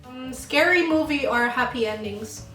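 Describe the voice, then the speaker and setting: A young woman from Jamaica co-hosting a casual chat, slow and laughing often. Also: high-pitched, sharp tone. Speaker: channel co-host. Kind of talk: casual get-to-know-me chat. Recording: roomy sound, mic at a distance, music behind.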